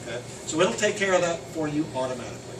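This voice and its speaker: A man giving a casual, hands-on software training walkthrough, loud and emphatic on key points. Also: fairly high pitch, lively intonation, rapid-fire. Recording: roomy, distant, noisy air conditioning.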